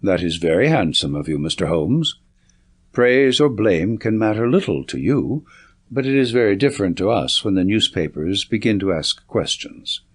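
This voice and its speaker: A man's voice: on the low side, very animated, moderate pace, breathy edge. A man voicing a young police inspector in an audiobook, reserved, formal and earnest, a little touchy. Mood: earnest, defensive.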